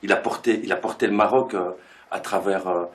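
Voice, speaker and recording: Tailor from Belgium, male, lowish voice, casual and halting, circles back on phrases. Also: steady tone. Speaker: tailor and clothing designer. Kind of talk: casual interview talk.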